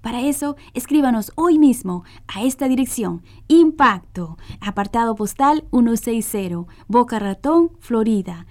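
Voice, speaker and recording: A woman's voice: a little high, a little mellow. A woman reading a scripted radio announcement in a courteous, formal manner.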